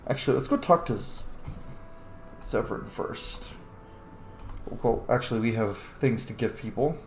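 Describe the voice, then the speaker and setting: Guy playing a game and thinking out loud, chatty, pitch all over, roomy echo with faint noise behind. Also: lively intonation, measured pace. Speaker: gaming host. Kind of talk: casual game playthrough commentary with role-played replies.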